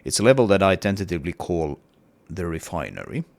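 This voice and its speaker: Man, very low gravelly voice, lively pitch, getting over a cold, hesitant game-dev walkthrough. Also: fairly quick, no room sound. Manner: hesitant, many fillers and restarts. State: has a cold, voice partly lost. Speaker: indie game developer. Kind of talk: casual devlog walkthrough.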